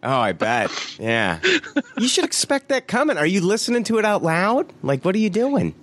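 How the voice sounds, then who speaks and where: A man's voice: high voice, huge pitch swings, normal speed, a bit bright. A man hosting a podcast, casually reading out listener reviews, laughing often and swearing offhandedly.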